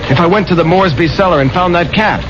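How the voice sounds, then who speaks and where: A man's voice: high-pitched, fast, over music, natural voice. An actor playing an earnest, insistent reporter who pleads his case in a 1970s radio drama. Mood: earnest, persistent. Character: earnest, insistent male news reporter, easily awed. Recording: muffled, noisy old radio recording.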